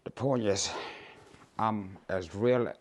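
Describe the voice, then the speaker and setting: A man in his sixties from Cambodia with a Cambodian accent, rambling and halting to his viewers, with many fillers and restarts. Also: on the low side. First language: Khmer. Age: 60s. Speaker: YouTuber. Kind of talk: casual vlog to camera.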